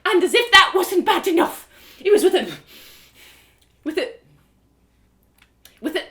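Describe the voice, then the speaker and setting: A woman's voice: very high voice, expressive, measured pace, a bit bright, pitched above the performer's own voice, full character voice. A woman voicing a motherly, gossipy innkeeper with folksy, effusive speech for an audio story. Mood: outraged. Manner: folksy, effusive, rustic turns of phrase. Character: gossipy motherly innkeeper woman, merry but quick to outrage.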